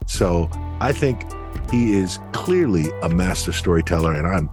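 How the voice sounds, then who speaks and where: A man with a fairly low voice co-hosts a podcast conversation. His pitch moves in a very lively way as he offers thoughtful commentary. Faint music plays behind him. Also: normal speed. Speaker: podcast co-host.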